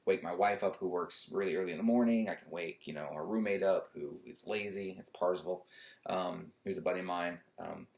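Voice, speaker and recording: A young adult man ranting at home for his channel in a loud voice, indignant and repetitive, often restarting his sentences. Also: low voice, fairly quick. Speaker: YouTuber. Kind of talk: casual opinion rant to camera.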